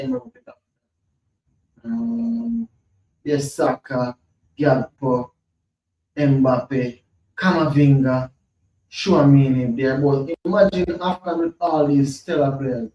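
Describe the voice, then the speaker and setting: Host, unhurried, rambling football chat, mic at a distance in an echoey room, slightly dull audio with faint noise. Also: male voice, on the high side, mellow. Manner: slow, rambling, thinks aloud, repeats himself. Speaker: podcast host. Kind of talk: casual football chat, reading from screen.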